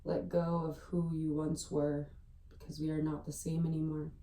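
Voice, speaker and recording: A woman guides a meditation slowly and soothingly, with a very mellow, dark voice that barely moves in pitch. Her delivery is gentle and hushed, with long pauses. She sounds slightly distant in an echoey room. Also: flat delivery. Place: indoors at a family home.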